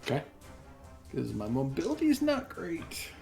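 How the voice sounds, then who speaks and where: Dude playing a tabletop rpg, creaky voice, casual, faint music behind. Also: male voice, a little high, wildly swooping pitch, normal speed. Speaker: player in a tabletop roleplaying game. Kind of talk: casual tabletop game talk. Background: soft background music.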